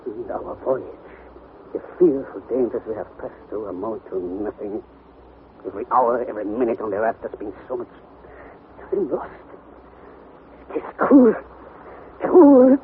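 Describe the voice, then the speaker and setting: An actor playing an excitable, obsessive older German professor in a theatrical 1960s radio drama style. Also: male voice, fairly low pitch, a little slow, long pauses. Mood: bitter despair. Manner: theatrical, declamatory, exclaiming. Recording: tinny, noisy vintage radio recording.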